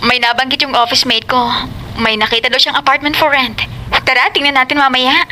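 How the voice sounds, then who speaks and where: Sweet, high-voiced young Filipina played by an actress. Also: female voice, steady tone, brisk, bright, forceful tone, a little hiss or hum, poor audio. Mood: friendly. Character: cheerful, affectionate young office-working woman. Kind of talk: Tagalog audio drama acting.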